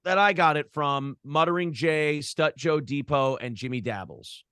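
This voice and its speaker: A man's voice: on the high side, moderate pace, clear, resonant tone, dry recording, clean studio sound. A man being interviewed in a casual conversation, telling stories in a joking, profane way. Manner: animated storytelling, profane, joking. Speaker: interview guest, broadcaster.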